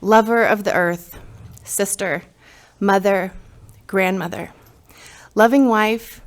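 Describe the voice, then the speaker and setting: A woman giving a eulogy for her aunt, reading a tribute and then sharing memories, with soft laughs between phrases. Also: mid-range voice, fairly even intonation, slow pace.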